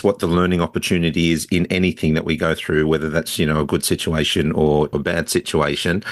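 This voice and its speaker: A man's voice: sits low, no echo, studio quality. A man hosting a podcast, asking questions in a conversational interview style.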